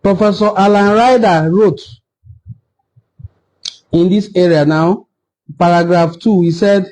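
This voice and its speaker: A Nigerian man with an Igbo-language accent speaks in a high, soft-toned voice. He hosts an informal history talk, pressing his case in an assertive, argumentative manner and repeating points for emphasis. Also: slow pace, low-quality recording. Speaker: video host on history.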